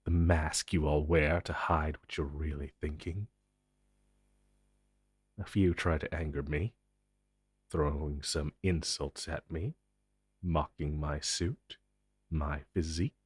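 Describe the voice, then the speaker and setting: Male actor as stern, distrustful agent for superhero audio drama: very low voice, slow and deliberate, cold sarcasm, close dry sound. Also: husky, no room sound, exaggerated voice. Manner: deliberate, cold, sardonic, with sudden emphatic swells. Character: menacing, suspicious male government agent interrogating a recruit. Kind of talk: dramatic audio roleplay monologue.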